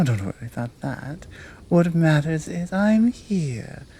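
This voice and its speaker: Woman acting a slow, seductive British monster woman. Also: low-pitched, warm tone, a little hiss or hum, exaggerated voice. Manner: slow, coaxing, commanding, often dropping to breathy murmurs. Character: tall, dominant monster woman, seductive, predatory and teasing. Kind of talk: ASMR roleplay audio drama.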